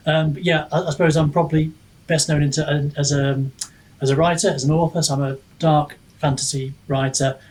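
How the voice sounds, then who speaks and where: A man's voice: a little high, flat delivery, fairly quick, darkish tone, rough recording. A man speaking casually as a guest author in a podcast interview, self-deprecating and a little rambling as he feels for his words. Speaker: guest author, fantasy writer and book reviewer.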